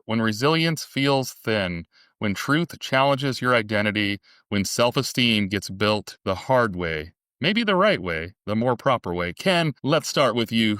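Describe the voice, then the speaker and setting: A man's voice: medium pitch, fairly bright tone, clear, resonant tone, dry recording, clean studio sound. A man in his late thirties hosting a podcast interview, friendly and reflective. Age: 30s. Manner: friendly, reflective, lightly scripted intro. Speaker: podcast host.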